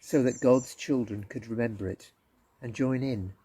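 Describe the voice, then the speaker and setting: A man reading a Bible story for children in a gentle, expressive storytelling manner. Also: mid-range voice, normal speed, husky, poor audio. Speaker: story reader at a church.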